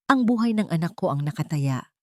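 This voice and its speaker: A woman's voice: fairly low pitch, expressive, a little fast, no room sound, clean studio sound, close to an everyday voice. A woman voicing a worried, stern wealthy father in story dialogue, grave and pressing. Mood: urgent pleading.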